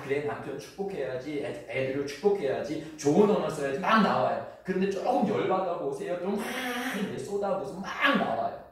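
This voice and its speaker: A man with a Chungcheong accent preaching a sermon as pastor in a church, talking earnestly and conversationally and drawing out his sentence endings. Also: a little high. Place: church sanctuary. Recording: echoey church hall from far off.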